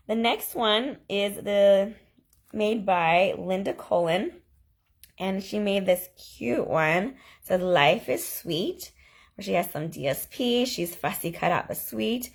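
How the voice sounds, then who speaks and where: A woman hosting a stamping craft video, chatting enthusiastically as she shows cards, her voice slightly hoarse from a lingering cough. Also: medium pitch. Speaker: stamping demonstrator and channel host. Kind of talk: casual crafting video walkthrough.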